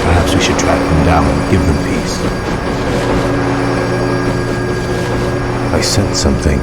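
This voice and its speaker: A noble elven prince from a fantasy game, low-voiced and earnest. He speaks formally, burdened and proud, over prominent music. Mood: solemn. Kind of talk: dramatic video game voice acting. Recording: game cinematic with music behind.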